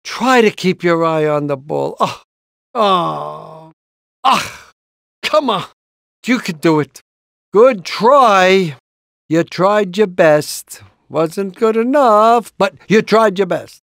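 A man's voice: high-pitched, lively intonation, slow, creaky, fairly bright tone, no room sound, clean studio sound, heavily acted. A man voicing an anxious, encouraging, portly middle-aged rooster father in a cartoon video game, with a hesitant, rambling, fatherly manner. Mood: reassuring. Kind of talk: animated video game character lines.

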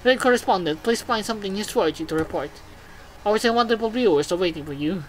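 A woman's voice: mid-range voice, expressive, fast, natural voice, rough recording. A woman voicing a vain, gushing showbiz robot who presents TV news in a video game, with theatrical announcer phrasing. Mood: showy, gushing.

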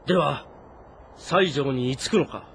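A man playing an earnest, composed warrior commander who speaks in a measured, probing way in a game drama. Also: medium pitch, a bit bright, slight background noise. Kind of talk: dramatic audio-novel game acting.

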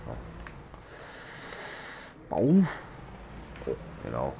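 A man's voice: very deep voice, huge pitch swings, drawn-out words, gravelly, muffled recording, slight background noise. A man streaming an off-road driving game, chatting casually with a friend and playfully exclaiming and sing-songing as he drives. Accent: German. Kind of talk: casual gaming chat with a friend.

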